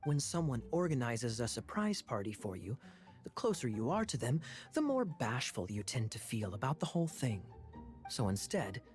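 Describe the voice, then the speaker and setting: A man's voice: medium pitch, big pitch swings, normal speed, lightly acted. A man playing a suave, sly, playful young knight in an anime game dub. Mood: amused, sly. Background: music.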